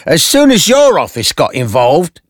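A man voicing a grumpy, scruffy police commissioner in a strained, high, whiny voice for a comic audiobook. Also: huge pitch swings, normal speed. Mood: complaining.

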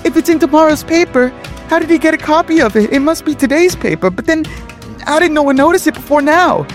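A man's voice: extremely high pitch, lively intonation, fast, soft background music, pitched above the performer's own voice. A man voicing an indignant woman police officer who whines and argues over details in a comic story reading. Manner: whining, argumentative.

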